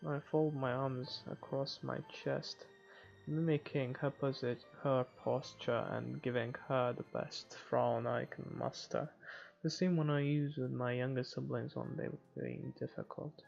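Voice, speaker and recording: A woman's voice: extremely low pitch, flat delivery, a little slow, creaky, a little mellow. A woman gamer casually reading a playthrough aloud with sarcastic asides, recorded on a dull microphone. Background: game music.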